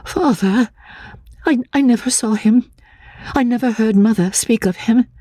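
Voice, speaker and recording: Frail, troubled young woman: high, airy, hesitant, childlike, for a classic audiobook. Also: dark tone, no echo. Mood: wistful, troubled. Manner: halting, stammering, repeating words anxiously. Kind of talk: dramatic audiobook reading of classic literature.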